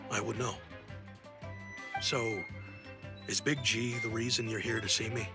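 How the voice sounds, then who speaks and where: A man's voice: lowish voice, little pitch movement, unhurried. Self-assured game businessman, music behind. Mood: reflective. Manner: reflective, assured. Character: self-assured, sentimental young businessman, male. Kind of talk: anime game dialogue acting.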